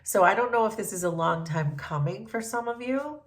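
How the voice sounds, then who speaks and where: A woman with a stuffy, congested voice from a cold, giving a gentle, thoughtful tarot reading with frequent pauses and ums. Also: medium pitch, expressive. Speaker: tarot reader.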